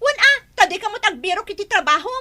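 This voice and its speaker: A woman's voice: sing-song, quick pace, heavily acted. A woman playing a nagging, sarcastic wife fed up with her drunkard husband, in Ilocano-accented radio drama style. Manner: shrill, sharp-tongued, sarcastic.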